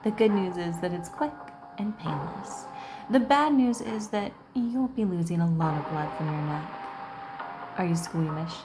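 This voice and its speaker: A woman hosting a gaming video, reading game dialogue aloud and adding salty, expressive commentary. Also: fairly low pitch, a little slow. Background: game music.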